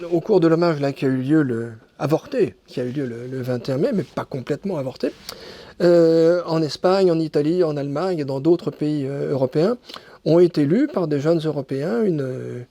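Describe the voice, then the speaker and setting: A man with a fairly high, mellow voice, answering questions in a relaxed interview. He hesitates often, restarts phrases and fills pauses while he thinks. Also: vocal fry, no room sound. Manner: hesitant, many restarts and fillers. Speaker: guest. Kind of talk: casual interview talk.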